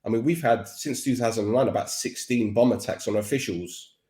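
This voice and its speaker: A man's voice: mid-range voice, brisk, dark tone, roomy sound. A man with a Cockney accent, a podcaster talking as a guest expert in a casual, rambling interview chat. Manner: chatty, rambling with restarts.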